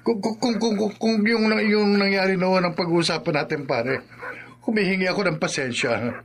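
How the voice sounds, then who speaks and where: A man's voice: high-pitched, moderate pace. A male actor playing a cowardly, guilty man in a horror radio drama, with a nervous, whiny delivery. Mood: nervous, pleading. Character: cowardly, guilty man pleading with a wronged friend.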